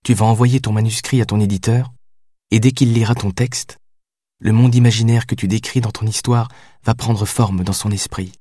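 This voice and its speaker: A man's voice: fairly low pitch, steady tone, normal speed, no echo, studio quality, lightly acted. A man reading an audiobook voices a passionate, heartbroken young woman who pleads and cries out. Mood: resigned, gentle. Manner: pleading, then shouting through tears. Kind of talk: audiobook character voice.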